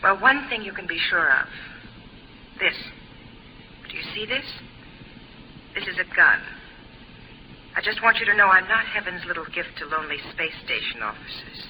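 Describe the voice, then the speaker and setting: An actress playing a tough, guarded fugitive woman, brisk, blunt and challenging, in a 1950s radio drama. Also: fairly low pitch, big pitch swings, pushed and bright, full character voice. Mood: hard, challenging. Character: tough, guarded young female fugitive with a gun. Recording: noisy old 1950s radio recording.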